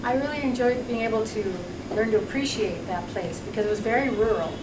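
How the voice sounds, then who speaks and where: A woman's voice: a little high, a little fast. A woman interpreting into English, speaking evenly and carefully. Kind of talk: consecutive interpretation. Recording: echoey distant hall recording with noise.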